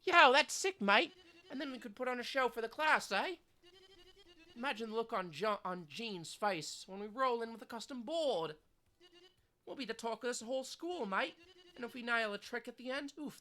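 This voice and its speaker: A woman's voice: fairly high pitch, pushed and bright. A woman voicing a cheeky, upbeat teen zombie with a slangy, easygoing way of talking.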